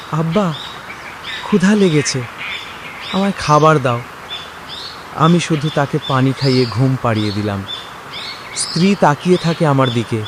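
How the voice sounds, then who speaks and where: A man playing a poor, devout village father in a cartoon moral story, pleading and despairing. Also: a little high. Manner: plaintive, pleading, prayerful. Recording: noisy track with birdsong and nature ambience.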